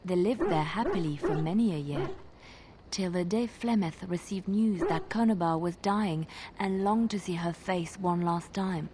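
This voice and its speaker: A female traveling minstrel played by an actress from a fantasy video game, with a slightly low voice. She is a soft-spoken, wistful storyteller who spins her tales with lyrical, measured suspense. A little noise sits under the recording. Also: natural voice. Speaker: game companion character.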